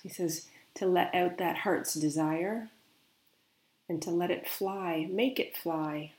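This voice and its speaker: A woman speaking as a spiritual medium, gently and unhurriedly relaying another's words in a guided meditation. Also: fairly low pitch, fairly even intonation, slow pace, roomy sound.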